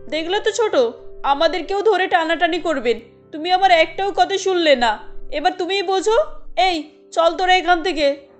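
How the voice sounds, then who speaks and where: A woman voicing a cautious, resentful eldest daughter-in-law in an animated family story, admonishing and complaining. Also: very high voice, moderate pace, faint music behind. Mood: reproachful.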